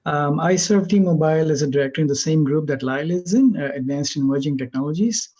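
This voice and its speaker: A man's voice: fairly high pitch, fast, dark tone. A man introduces himself on a video call, friendly and fluent with a light, self-deprecating touch. The sound is somewhat muffled and lo-fi. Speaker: telecom director.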